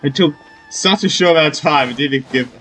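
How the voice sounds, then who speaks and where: A man's voice: a little high, fast talker, fairly bright tone, soft background music, poor audio. A man giving casual gameplay commentary in an informal, rambling way. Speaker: gamer, let's play host.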